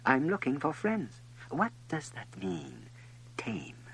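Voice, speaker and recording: A man's voice: fairly high pitch, sing-song, slow, a bit bright, slightly rough, voice pushed up, heavily acted. A man voicing a lonely, inquisitive little boy prince in a storybook reading, with an earnest, questioning manner. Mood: lonely, curious.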